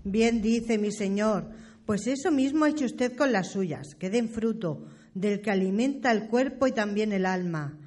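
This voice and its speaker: A woman plays a loyal servant to an old priest in a period radio play. Her voice sits at a middle pitch, with a Spanish accent, and she speaks deferentially in formal, archaic phrases. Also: expressive, measured pace, darkish tone. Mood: respectful. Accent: Spain. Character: devoted, respectful female servant of an old priest.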